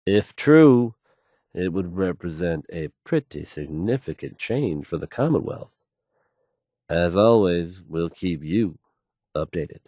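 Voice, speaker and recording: Assured game radio newsman: lower register, fluent folksy announcer delivery, dry and close. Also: expressive, takes it slowly, dark tone, no echo, full character voice. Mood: assured, serious. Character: confident, smooth, assured male radio newscaster. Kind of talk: scripted radio news announcing in a video game.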